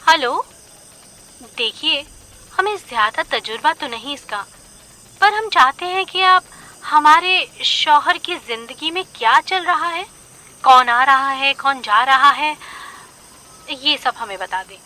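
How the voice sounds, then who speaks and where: A woman playing a polite, gently worried married lady in an audio drama, with courteous, refined Urdu phrasing. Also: high voice, lively intonation, slow pace, long pauses, exaggerated voice. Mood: polite, pleading.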